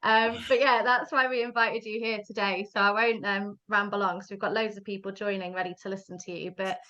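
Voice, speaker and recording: A woman's voice: mid-range voice, steady tone, fairly quick, bright, forceful tone. A woman from the UK hosts a webinar interview over a video call. She talks in a chatty, friendly way, and her voice comes through slightly dull and lo-fi. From United Kingdom. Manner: chatty, friendly, laughs easily. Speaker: webinar host.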